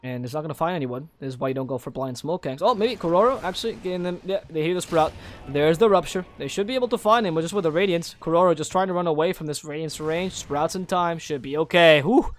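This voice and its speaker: A man giving play-by-play esports commentary, excitable and breaking into high shouts at big plays, with a naturally low voice he keeps higher for casting. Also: fast. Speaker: esports caster.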